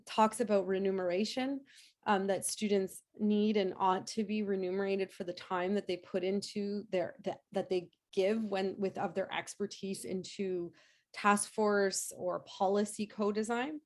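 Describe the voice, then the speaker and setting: A woman in a lecture Q&A, her voice hoarse as she gets over a cold. She answers off the cuff at a middling pitch, full of fillers and restarts. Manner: hesitant, many fillers and restarts. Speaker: nursing professor giving a lecture.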